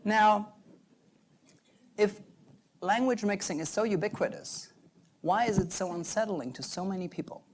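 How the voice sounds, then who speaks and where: A young adult man, a native German speaker, giving an earnest prepared talk in measured phrases. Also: sits high, slight background noise. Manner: earnest, measured, pauses between short phrases. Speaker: doctoral researcher giving a talk.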